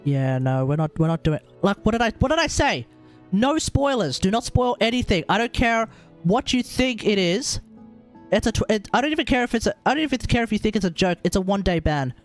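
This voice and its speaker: Male game streamer, fairly high voice, casual chatter, halting, repetitive phrasing, faint music behind. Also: big pitch swings. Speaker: game livestreamer. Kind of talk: casual live stream commentary.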